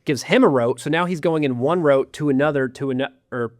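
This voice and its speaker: Animated sarcastic man in a dry room. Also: mid-range voice, fairly quick, no room sound. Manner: animated storyteller, sarcastic asides. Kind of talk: casual chat.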